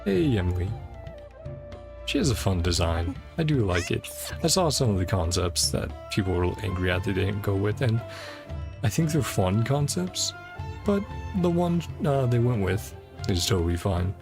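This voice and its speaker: A woman's voice: very deep voice, sing-song, normal speed, vocal fry. A woman game streamer giving casual, chatty commentary and reading dialogue aloud as she plays, sometimes trailing off. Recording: music playing behind the voice.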